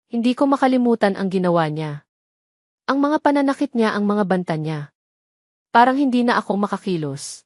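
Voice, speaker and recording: Woman acting as frightened young mother, sorrowful, soft-spoken, audio drama, mid-pitched. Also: animated, normal speed, pauses often, dry recording, studio quality, lightly acted. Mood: fearful, hurt. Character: frightened, hurt young mother confiding tearfully.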